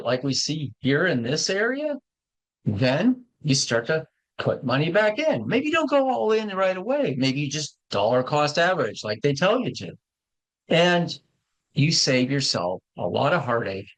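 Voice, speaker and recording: Man, 50s, animated, conversational host who corrects himself mid-thought. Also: a little high, rough recording. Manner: conversational, repeats and corrects himself, plain-spoken. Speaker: market show host. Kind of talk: casual educational talk on investing.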